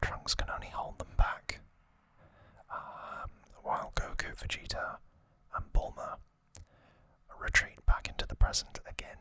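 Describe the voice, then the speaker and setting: A man whispering a casual ASMR recap in short, hushed, unhurried phrases. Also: dry recording. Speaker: ASMR host.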